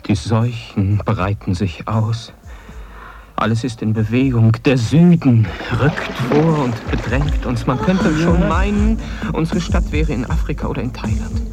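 An actor in a German radio play voicing a dreamy, lovestruck man with grand pronouncements, theatrical and slipping into whispers. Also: mid-range voice. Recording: music under the voice.

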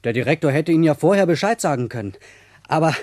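An actor playing a small, proud schoolboy prankster in a German radio play, eager and boastful. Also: male voice, a little high, lively intonation, fast, darkish tone, no echo, studio quality, lightly acted. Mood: excited, proud. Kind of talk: German radio drama acting.

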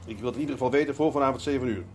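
A middle-aged actor playing a shrewd, stubborn senior police detective in a radio drama, speaking drily and deliberately with gentle sarcasm. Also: male voice, mid-range voice, background noise, natural voice. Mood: pensive, firm. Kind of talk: Dutch radio drama acting.

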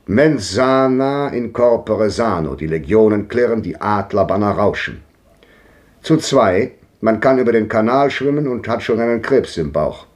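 A man's voice: fairly low pitch, darkish tone, close to an everyday voice. An actor playing an old man who muses philosophically in a ponderous, declamatory way, in a 1950s radio drama.

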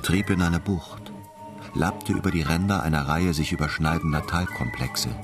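A man's voice: extremely low pitch, steady tone, normal speed. A man delivering scripted literary narration as the narrator of a radio drama. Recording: studio voice over music.

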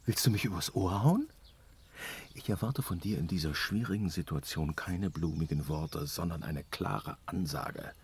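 An actor playing a proud, impatient chief god in a fantasy radio drama, grave and authoritative with exasperation. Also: male voice, low voice, normal speed, a little hiss or hum. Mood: stern, impatient.

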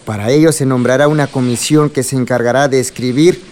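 A man's voice: mid-range voice, fairly even intonation, a little fast, darkish tone, a little hiss or hum, lightly acted. A man playing an earnest, courteous village land commissioner formally addressing an assembly in a radio drama. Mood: earnest, practical. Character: earnest male village communal lands commissioner chairing an assembly.

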